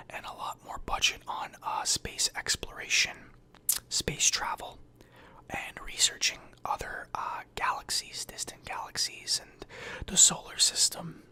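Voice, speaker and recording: Guy whispering a sci-fi journal asmr thing, breathy, kinda halting, really sharp sibilant sound, keyboard typing behind. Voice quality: breathy whisper and soft speech. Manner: hesitant, pausing, thoughtful. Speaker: ASMR roleplayer. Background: computer keyboard typing.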